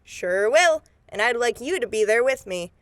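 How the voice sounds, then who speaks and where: A woman's voice: fairly high pitch, expressive, moderate pace, no room sound, lightly acted. A woman playing a businesslike, take-charge deputy investigator in a radio drama, plain-spoken and matter-of-fact. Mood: focused, deducing. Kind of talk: scripted radio drama acting.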